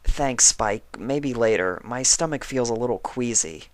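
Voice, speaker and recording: Female unicorn pony , acted by a man: storybook, unwell, gentle, fretful for others, lifted pitch, dry sound. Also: animated, unhurried, dry recording, lightly acted. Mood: weary, grateful. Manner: gentle, concerned. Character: caring, concerned female unicorn pony, sick in bed.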